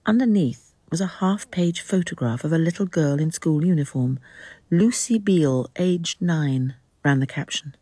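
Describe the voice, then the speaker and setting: An actress playing a sober narrator who reads out grim evidence in a radio drama. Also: female voice, low-pitched, moderate pace, mellow, no echo. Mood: grave, hushed.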